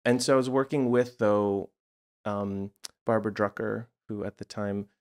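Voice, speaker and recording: A man in his forties with a lowish voice, raised in Los Angeles, whose first language was Croatian. He talks as an interview guest, reflective and meandering, thinking aloud and circling back with plenty of fillers. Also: steady tone, normal speed. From United States (Los Angeles area). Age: 40s. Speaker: guest, art therapist. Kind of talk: casual conversational interview.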